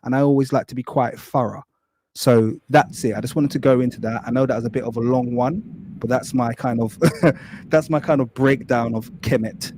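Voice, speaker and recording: Man, thorough, apologetic, mellow tone, dry close sound. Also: medium pitch, fairly even intonation, dry recording. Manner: thorough, apologetic, keeps saying really quickly. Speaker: guest explaining hieroglyphs. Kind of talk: live-stream lecture with visual aids.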